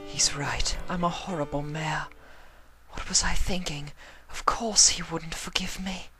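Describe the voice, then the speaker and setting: A man's voice: fairly high pitch, steady tone, unhurried, bright, forceful tone, soft background music, voice pushed up. A man voicing a refined, dramatic female unicorn pony full of remorse in a storybook reading. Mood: tearful, self-blaming.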